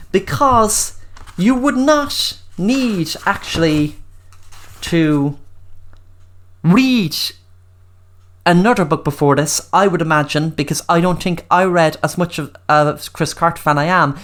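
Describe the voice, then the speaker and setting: A woman's voice: sits low, measured pace. An Irish woman hosting a solo podcast, talking in an earnest, emphatic way and repeating words for emphasis. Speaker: podcast host. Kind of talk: solo podcast talk with book review.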